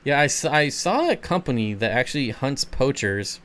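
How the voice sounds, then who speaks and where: A man's voice: medium pitch, sing-song, normal speed, a bit bright. A man hosting a casual livestream, answering viewer comments in a laid-back, rambling way and chuckling often. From Michigan. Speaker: channel host.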